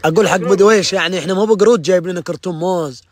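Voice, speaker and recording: Guy, kinda high voice, teasing his buddies on his phone at a desert camp. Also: racing. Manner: playful, provoking, bursts into high laughing shouts. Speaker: vlogger filming friends. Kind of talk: casual teasing banter. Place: outdoor desert camp picnic. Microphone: phone held by the speaker.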